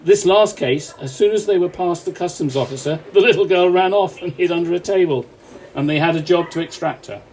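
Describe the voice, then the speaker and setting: A man's voice: sits high, brisk, a little mellow, slight background noise, rough recording. A man acting an earnest, authoritative off-duty policeman in an amateur radio play. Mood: explanatory.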